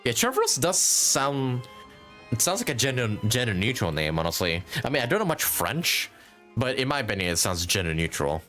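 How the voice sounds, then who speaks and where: A man's voice: mid-range voice, huge pitch swings, moderate pace, bright, forceful tone. A man livestreaming a game with casual commentary, musing and thinking aloud. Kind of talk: casual live gaming commentary. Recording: game music behind.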